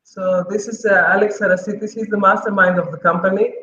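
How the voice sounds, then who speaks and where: A man from the Caribbean presents his company over a video call. His voice is high and bright, and it comes through an echoey room on dull audio. He speaks politely with a few pauses. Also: steady tone. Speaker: company representative. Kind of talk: casual spoken introduction.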